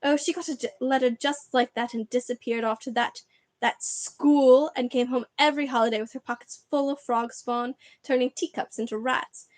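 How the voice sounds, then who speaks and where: A woman's voice: high voice, fairly even intonation, normal speed, dry recording, lightly acted. Shrieking resentful middle-aged aunt, voiced by a woman narrator. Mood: ranting. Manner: shrieking, ranting.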